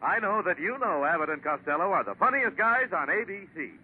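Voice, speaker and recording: A man's voice: sits high, big pitch swings, brisk. A man announcing a 1940s radio show in a showy, proclaiming style. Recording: tinny 1940s radio with loud music. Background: loud orchestral music.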